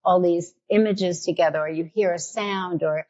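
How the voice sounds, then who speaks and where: New York woman, podcast host, lowish voice, eager and rambling, muffled lo-fi sound. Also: steady tone, moderate pace, a little mellow. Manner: thinks aloud with many restarts, enthusiastic. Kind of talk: casual conversational interview.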